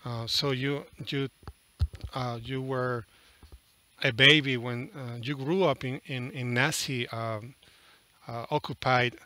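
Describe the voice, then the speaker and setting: A middle-aged man conducting an informal interview in an earnest, halting way. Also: medium pitch, steady tone, pushed and bright, no echo. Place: outdoors under a large canopy. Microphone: headset.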